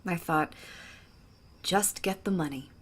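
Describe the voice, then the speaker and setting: A woman's voice: lowish voice, takes it slowly. An actress playing a wry, guilt-burdened woman in an audio drama, with a conversational, probing delivery. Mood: burdened, regretful. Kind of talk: naturalistic audio drama acting.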